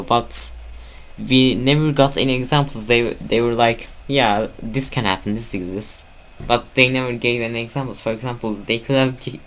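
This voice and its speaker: A man's voice: mid-range voice, a bit bright. Rambling gamer guy. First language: Turkish. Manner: rambling, self-correcting rant. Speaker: let's play host. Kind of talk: casual gameplay commentary.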